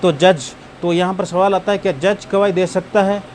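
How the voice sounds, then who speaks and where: Male law lecturer, Pakistani accent, fairly high voice, explains by question and repetition, noisy recording with background hum. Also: fairly even intonation, moderate pace, darkish tone. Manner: repeats key points with rhetorical questions. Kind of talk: explanatory lecture mixing in English legal terms.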